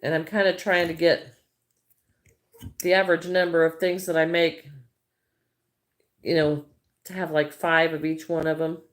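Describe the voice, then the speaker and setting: A woman's voice: sits low, flat delivery, unhurried, long pauses. A middle-aged Midwestern American woman chatting casually on a crochet livestream, greeting viewers by name and thinking aloud as she works.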